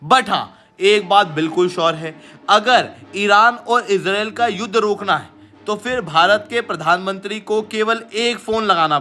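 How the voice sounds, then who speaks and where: A man's voice: high-pitched, bright, forceful tone. An Indian man presenting as an online teacher, explaining current affairs emphatically and mixing in English phrases.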